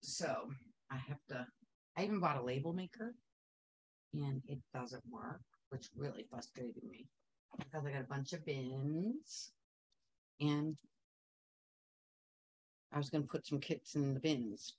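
A woman's voice: very deep voice, unhurried, pauses often, a little mellow, slightly rough, rough recording. A woman teaching a quilting technique in a chatty, upbeat way, wandering into asides while she sews. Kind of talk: casual sewing tutorial.

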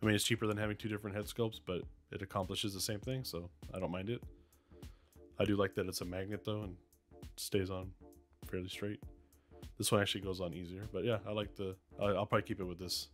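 Man with a raspy cold-worn voice. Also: low voice, a little fast, faint music behind. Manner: relaxed, many ums and uhs. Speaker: toy reviewer. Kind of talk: casual unboxing review.

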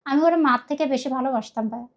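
Bangladeshi woman telling her life story, high voice, talks really fast, rambly and earnest. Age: middle-aged. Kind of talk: emotional personal account in conversation.

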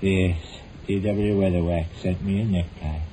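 An American actor playing a stubborn, middle-aged husband with a dry, mock-grandiose manner in a 1940s radio comedy. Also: male voice, low voice, fairly even intonation, a little slow, warm tone. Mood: stubborn, weary. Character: droll, stubborn, evasive husband in a domestic comedy. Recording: noisy old 1940s radio recording.

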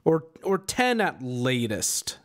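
A man in his twenties, tired and relaxed, answering chat questions in a rambling, casual live stream from a small room at home on a boom-arm mic. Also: slow pace. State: exhausted. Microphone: studio mic on boom arm, headset on.

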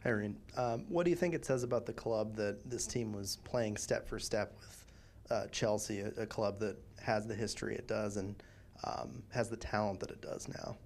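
A man reporter asking questions at a press conference, pausing hesitantly as he phrases them. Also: on the low side, darkish tone, a little hiss or hum. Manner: hesitant, with many filler words.

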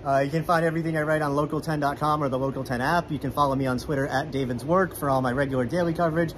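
A man's voice: fairly high pitch, steady tone, slight background noise. A man in his thirties, a guest sports reporter giving eager, casual game analysis in a hockey arena. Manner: quick, enthusiastic, many "I mean" fillers. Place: indoor hockey arena beside the rink. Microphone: phone camera at arm's length.

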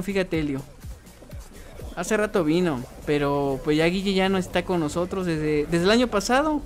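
A young man with a Mexican accent chatting casually on a game livestream, reading viewers' comments aloud and answering them. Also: sits high. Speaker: streamer. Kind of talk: casual livestream chat while gaming.

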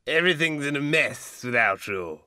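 Man playing an old baronet, impatient, pleading, piercingly forceful, slow, dry studio storybook recording. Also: medium pitch, sing-song, creaky, dry recording, heavily acted. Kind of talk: dramatised audiobook character acting.

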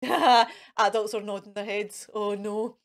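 A woman's voice: medium pitch, fairly even intonation, slow. A Scottish woman in her thirties presenting playfully to children as a fairy host, with an exaggerated, sing-song, coaxing and encouraging manner. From Scotland. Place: indoors in front of a fairy backdrop.

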